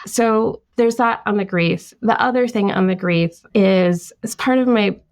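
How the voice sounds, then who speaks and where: A woman's voice: mid-range voice, a little slow. A woman author whose first language is Tamil, talking reflectively in a podcast interview. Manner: thoughtful, lively, reads passages aloud. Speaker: author guest.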